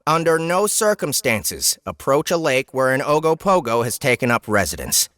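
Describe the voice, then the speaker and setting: Animated fantasy lore guide. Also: male voice, mid-range voice, normal speed, no echo, clean studio sound. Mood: instructive, emphatic. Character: instructive narrator of magical monster lore entries. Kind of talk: scripted encyclopedia-style narration in fantasy audiobook.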